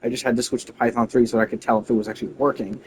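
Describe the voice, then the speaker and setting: A man's voice: mid-range voice, level tone, racing, a little mellow, slight background noise. A man chatting casually on a game livestream, rambling and cutting himself off with mildly exasperated, slangy grumbling. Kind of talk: casual rambling stream chat.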